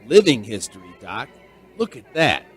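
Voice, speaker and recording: A man's voice: mid-range voice, big pitch swings, normal speed, husky. An actor playing an earnest, sentimental male bartender who tells a strange tale in a dramatic radio play style. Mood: intent. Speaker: bartender and storyteller. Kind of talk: radio drama acting and narration.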